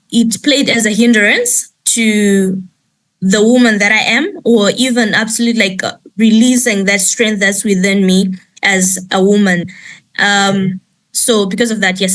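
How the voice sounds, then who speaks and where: A young woman, speaking as a nonprofit founder on a video call and giving a halting personal testimony full of ums and restarts. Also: mid-range voice, fairly bright tone. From Malawi. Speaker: panel guest, nonprofit founder. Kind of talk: personal testimony in an online chat.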